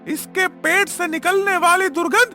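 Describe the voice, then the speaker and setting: A woman voicing an irritable, loud village man who scolds and threatens in a cartoon story. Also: extremely high pitch, fairly even intonation, moderate pace, faint music behind, voice pushed up. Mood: angry, scolding.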